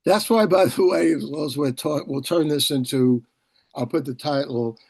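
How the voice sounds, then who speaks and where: A man hosting a casual video-call discussion, explaining things in a halting, discursive way and often repeating words. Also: fairly high pitch, vocal fry, a little mellow, breathy edge, no echo. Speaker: host, publisher and professor.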